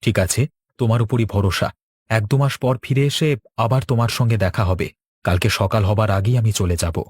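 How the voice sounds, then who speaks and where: A man's voice: lowish voice, normal speed, darkish tone, no room sound, clean studio sound, natural voice. A man playing an earnest, resolute young village farmer, a dutiful son, in a cartoon. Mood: worried, determined. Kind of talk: Bengali cartoon dialogue.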